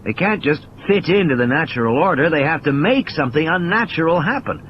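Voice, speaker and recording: Man acting cynical, animated, preachy scientist. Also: on the high side, brisk, lo-fi sound, a little hiss or hum, close to an everyday voice. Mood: bitter ranting. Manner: emphatic philosophical ranting in insistent repetitions. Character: injured, cynical male scientist, irritable and preachy.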